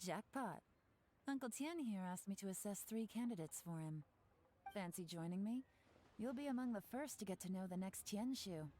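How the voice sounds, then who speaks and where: An actress playing a cool, confident female covert agent in a video game, wry and brisk. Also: medium pitch, animated, dark tone, a little hiss or hum, lightly acted. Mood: teasing. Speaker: game character. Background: game soundtrack music.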